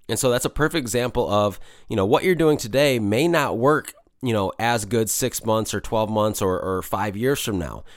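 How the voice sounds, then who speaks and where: A man hosting a solo podcast, slightly hoarse from a cold, talking in a casual, rambling way with many fillers. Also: on the low side, no echo, clean studio sound. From Michigan. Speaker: podcast host.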